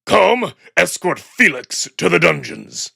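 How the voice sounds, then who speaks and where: Man doing an imperious old wolf ruler, husky growl, deliberate, swooping between menace and barks. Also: high voice, very animated, pushed and bright, no room sound, clean studio sound, voice pushed up, heavily acted. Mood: stern. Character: furious old imperial wolf, commanding tyrant.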